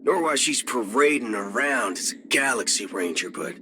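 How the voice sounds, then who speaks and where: An actor playing a cocky, folksy cyborg cowboy outlaw in a video game, with a Southern American drawl. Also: male voice, mid-range voice, lively intonation, normal speed, natural voice. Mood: easygoing. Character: swaggering cyborg cowboy gunslinger outlaw, adult male. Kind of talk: video game character voice lines. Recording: music under the voice. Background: background music.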